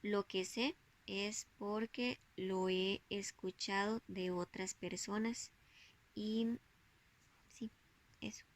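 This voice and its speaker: Young woman, Costa Rican accent, unhurried delivery, mid-pitched, interview answers. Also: fairly even intonation. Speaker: student interviewee. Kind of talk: self-introduction and interview answers.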